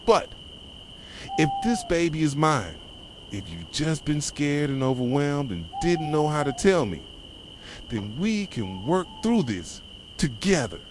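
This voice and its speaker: Man acting a soft-voiced possessive boyfriend, swooping intonation. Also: fairly high pitch, big pitch swings, measured pace, noisy background. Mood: hopeful, pleading. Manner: intense, pleading yet controlling, addressing a partner directly. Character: possessive, obsessive boyfriend confronting his pregnant girlfriend. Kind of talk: ASMR roleplay, dramatic monologue. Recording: nighttime cricket and owl ambience behind. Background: crickets, insects and hooting owls.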